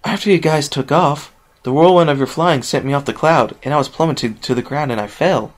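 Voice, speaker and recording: A man voicing a shy, gentle young female pegasus filly who speaks softly and timidly. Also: on the high side, voice pushed up, close to an everyday voice. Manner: soft and timid, quiet and slow.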